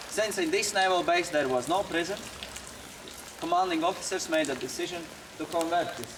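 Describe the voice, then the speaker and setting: A man working as a prison tour guide, giving orders in a curt, commanding way and explaining history, recorded outdoors in rain and inside an old prison. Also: a little high. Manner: curt, commanding, drill-sergeant tone. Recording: distant voice with rain noise.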